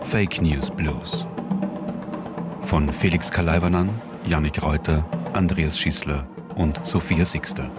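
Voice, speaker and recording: A man's voice: extremely low pitch, steady tone, slow pace. A man reading scripted credits as the announcer of a radio drama. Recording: loud music behind the voice.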